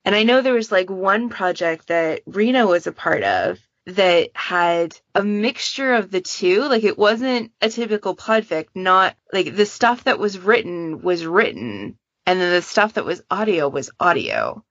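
A woman's voice: lowish voice, very animated, unhurried, hardly any pauses, no echo, highs cut off. A woman in a casual panel chat, explaining things in an informal, chatty way. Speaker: podcast panelist and fan project moderator.

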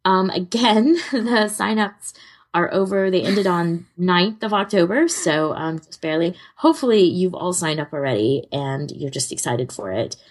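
Husky, lower-voiced woman podcast co-host. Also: expressive, normal speed. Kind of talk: casual podcast chat.

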